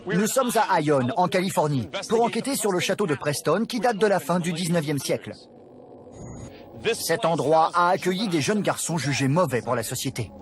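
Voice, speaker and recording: A man's voice: a little high, expressive, moderate pace, natural voice. A man voicing an intense paranormal documentary host, narrating and questioning in a dramatic, inquisitive way. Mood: dramatic, intrigued. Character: intense male ghost hunter hosting a documentary. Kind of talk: dubbed documentary narration and interviewing.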